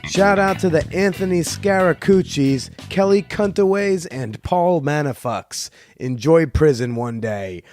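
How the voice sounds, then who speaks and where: A man hosting a podcast, talking casually in a rambling, halting way with many fillers. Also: on the high side, darkish tone, faint music behind. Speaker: podcast host; comedian. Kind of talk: casual solo monologue.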